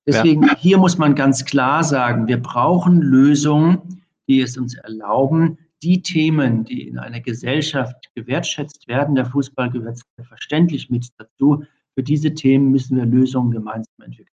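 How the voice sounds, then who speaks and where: Measured, formal expert man, muffled audio. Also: medium pitch, darkish tone. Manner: measured, long formal sentences with academic vocabulary. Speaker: infection medicine expert guest. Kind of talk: formal interview answers. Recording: dull lo-fi audio.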